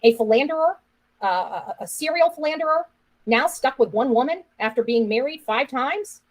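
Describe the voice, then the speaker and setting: A woman's voice: sits high, expressive, moderate pace, poor audio. A woman giving opinionated live-stream commentary in an accusatory, insistent manner, repeating phrases for emphasis. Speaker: online commentator.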